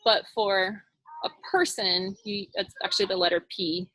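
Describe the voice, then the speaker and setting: A woman's voice: mid-range voice, fairly even intonation, moderate pace, a bit bright, dry recording, poor audio. A woman leading a children's sign language storytime, demonstrating and explaining as she goes. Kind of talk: sign language storytime lesson with singing and read-aloud.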